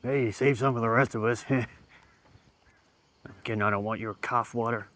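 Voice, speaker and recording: A man's voice: mid-range voice, normal speed, close to an everyday voice. A man playing a rambling Old West outlaw. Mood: teasing. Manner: rambling, deadpan storytelling. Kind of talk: roleplay in a western game.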